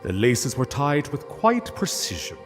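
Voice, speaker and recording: A man narrating a scripted literary story in a solemn, measured way with dramatic pauses. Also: medium pitch, wildly swooping pitch, long reverb tail, soft background music.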